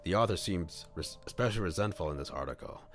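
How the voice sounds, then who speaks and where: A man's voice: sits low, animated, fast. A man reading a visual novel aloud as a streamer, mixing scripted narration with casual asides. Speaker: streamer, VTuber. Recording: music behind the voice. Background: background music.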